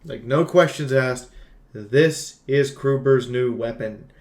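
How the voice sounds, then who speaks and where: A man reviews a video game in a casual, streamer style. His delivery is unhurried, with emphatic, exclamatory turns and joking asides. His mid-pitched voice sits in a roomy, echoey space. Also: background noise. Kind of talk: casual scripted game review with ad-libbed commentary.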